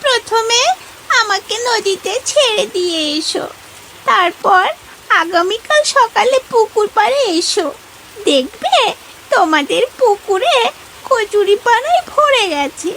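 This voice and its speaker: A woman voicing a small, gentle, kindly cartoon fish that speaks in a coaxing way. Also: very high-pitched, lively intonation, slow pace, a little hiss or hum, heavily acted, low-quality recording. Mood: gently advising. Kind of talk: cartoon voice acting.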